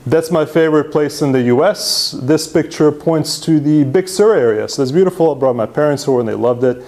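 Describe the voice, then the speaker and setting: A man presents to a meetup crowd. He is self-deprecating and casual, often tags his points with a quick "right?" and restarts his sentences as he goes. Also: medium pitch, darkish tone. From Serbia. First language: Hungarian. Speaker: presenter at user group meetup. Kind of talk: conference talk with slides.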